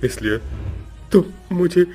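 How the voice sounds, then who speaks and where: Man playing a frightened young man, raised pitch, strained, pleading, dramatic, horror cartoon. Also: animated, slow, mellow, breathy edge, soft background music, full character voice. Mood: pleading, afraid.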